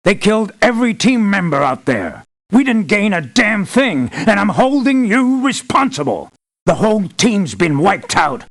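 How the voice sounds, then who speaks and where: A blunt, demanding boss of hired soldiers in a video game. The man's voice sits a little high and swings widely. He is articulate and businesslike, with an anxious, emphatic edge. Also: very animated, unhurried, gravelly, a bit bright, heavily acted. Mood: exasperated. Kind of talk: video game character voice lines.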